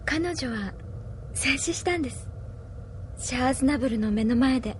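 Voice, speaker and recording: A high-voiced, devoted young girl. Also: expressive, normal speed, slight background noise. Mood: solemn. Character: devoted, earnest young female staffer, admiring and sweet. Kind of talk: anime-style audio drama acting.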